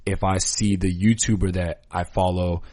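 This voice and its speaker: A man's voice: low-pitched, little pitch movement, moderate pace, a little mellow, no echo. A man hosting a podcast, talking casually and earnestly in slangy language, giving his opinions and repeating points for emphasis. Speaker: podcast host. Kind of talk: casual conversational podcast talk.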